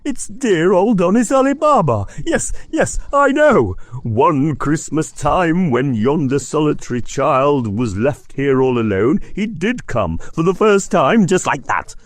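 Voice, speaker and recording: A man voicing an elderly miser, with a strained high voice caught between laughing and crying. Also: unhurried, few pauses, a little mellow, no echo. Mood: ecstatic. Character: excitable old miser man reliving childhood.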